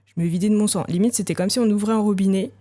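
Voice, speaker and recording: A woman in her thirties, a podcast guest telling her own story candidly, thinking aloud with frequent hesitant pauses. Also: medium pitch, dark tone. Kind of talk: candid personal testimony.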